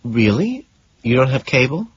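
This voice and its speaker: A man's voice: medium pitch, very animated, moderate pace, husky, no room sound, lo-fi sound, pitched above the performer's own voice. A man reading an audiobook as a vulnerable, eager young woman on a date. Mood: surprised. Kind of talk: dramatised audiobook narration.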